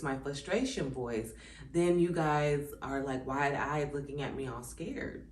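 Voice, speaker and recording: A woman in her thirties with four kids, talking in a casual, reflective way as she reads from a workbook and muses between passages. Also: deep voice. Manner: conversational, reflective, many "um" and "like" asides. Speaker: channel host. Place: indoors at home, seated. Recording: echoey room.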